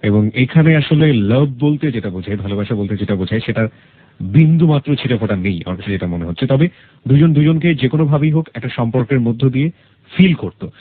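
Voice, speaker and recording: Fast-talking Bangladeshi male radio interviewer. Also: medium pitch, lively intonation, slightly rough, narrow, tinny audio. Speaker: radio show host. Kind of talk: probing life-story interview, summarizing for listeners.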